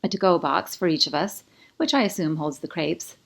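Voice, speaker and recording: Low-toned woman narrating romantic fiction. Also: normal speed, vocal fry. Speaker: author reading own audiobook. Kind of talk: scripted first-person fiction narration.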